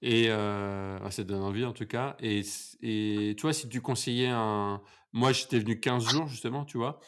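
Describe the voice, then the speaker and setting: A male podcast host who interviews a guest in a relaxed, chatty way, with many fillers and repeated words. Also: lowish voice, normal speed, clear, resonant tone. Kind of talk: casual interview, then a scripted outro.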